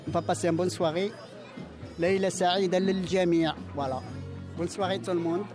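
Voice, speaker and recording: A man's voice: high-pitched, steady tone, normal speed. A man from Morocco, chatting eagerly in broken phrases at an outdoor neighbourhood party. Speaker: long-time resident. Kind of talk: casual interview chat. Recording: outdoor party with music and crowd.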